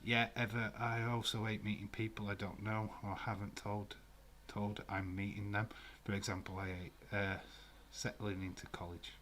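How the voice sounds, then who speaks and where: Scottish guy from glasgow rambling on a live stream, kinda low voice, lots of uhs. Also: little pitch movement, normal speed, a bit bright, slight background noise. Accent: Glaswegian. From Scotland. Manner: rambling and chatty, with frequent fillers and restarts. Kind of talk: casual watch-along chat, reading out viewer comments. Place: room at home.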